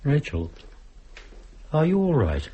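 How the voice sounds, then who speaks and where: An actor playing a steady, concerned man who takes charge in a radio horror drama. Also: a little high, takes it slowly, breathy edge, exaggerated voice. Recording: noisy old radio broadcast with clicks.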